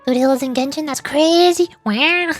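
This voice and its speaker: A woman's voice: high voice, normal speed, soft background music. A woman giving casual, playful, rambling commentary as she streams a game and does amateur voice acting.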